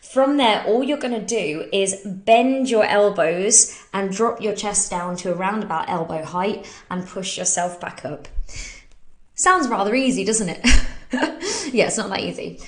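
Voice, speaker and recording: An English woman coaching a live fitness Q&A in a relaxed, chatty way, breaking into laughs as she explains push-up technique. Her voice is slightly bunged up from hay fever. She talks warmly and informally, as if to friends following along at home. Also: medium pitch, unhurried. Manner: chatty and upbeat, laughs often. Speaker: fitness coach and podcast host. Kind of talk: casual live Q&A, talking through exercise technique.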